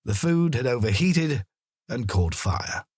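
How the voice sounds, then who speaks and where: A man narrating a children's story in a dramatic, expressive manner. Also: mid-range voice, slow, husky, no room sound, studio quality.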